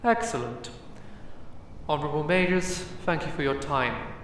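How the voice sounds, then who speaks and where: A woman's voice: low voice, expressive, normal speed, heavy reverb, big-room sound, a little hiss or hum. A woman playing a solemn, imperious leader of a ruling council in a fantasy audio drama, with formal, ceremonious, declamatory delivery. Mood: solemn, official.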